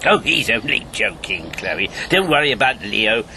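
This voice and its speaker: A man's voice: lowish voice, very animated, normal speed, sharp tone, slight background noise, heavily acted. A man acting in a radio drama as an old former secret agent, jovial and world-weary, with a theatrical, bantering manner. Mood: wry, reflective. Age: 70s.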